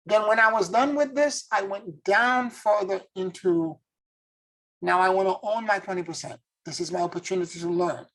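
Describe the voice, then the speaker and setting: A man's voice: sits high, normal speed, a bit bright, poor audio. A man from Haiti, a spiritual teacher, giving an earnest, introspective monologue and searching for his words as he goes. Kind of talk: reflective spoken monologue.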